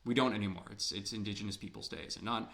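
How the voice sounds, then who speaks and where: A man's voice: on the low side, very fast, vocal fry, a bit bright. A man from Ohio giving a literature lecture in a conversational way, with rhetorical questions, his voice a little worn. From Ohio, USA. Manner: conversational, asks rhetorical questions, repeats words when emphasizing. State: slightly losing his voice.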